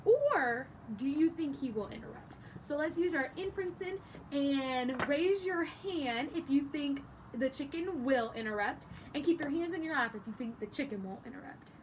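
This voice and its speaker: A woman teaching young children through an interactive read-aloud, animated and exaggerated, prompting with questions and praise, with some background noise. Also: high-pitched, moderate pace, low-quality recording. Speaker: teacher reading a picture book aloud to young pupils.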